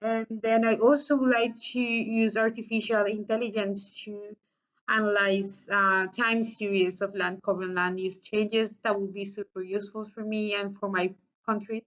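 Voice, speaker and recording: A Colombian-accented woman in her thirties whose native language is Spanish introduces herself to a class. Her voice is bright and forceful, and she pauses often with fillers and restarts. The recording is slightly muffled and lo-fi. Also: medium pitch, little pitch movement. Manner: hesitant, many fillers and restarts. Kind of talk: casual self-introduction.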